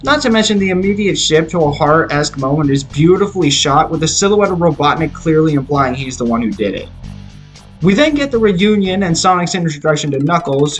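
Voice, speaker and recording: A man's voice: on the high side, lively intonation, a little fast. A man giving a scripted movie review as narration, explaining his opinions. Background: music.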